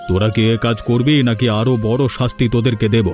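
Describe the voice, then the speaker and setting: A stern village man in a cartoon acted by a man, scolding and commanding. His mid-pitched voice is bossy, with faint music behind it. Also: fairly even intonation, fast talker, darkish tone, natural voice. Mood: stern, threatening. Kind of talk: Bengali cartoon dialogue.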